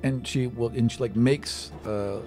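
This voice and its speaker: A man running a tabletop game, narrating as game master in a casual, halting, improvised way. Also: medium pitch, fairly even intonation. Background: background music.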